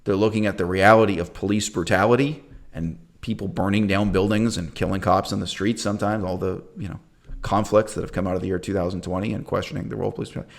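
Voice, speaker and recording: A man hosting his own channel, giving an emphatic, opinionated talk on history, with loud bursts that drop to quiet asides. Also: sits low, fairly even intonation, fast, vocal fry. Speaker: channel host.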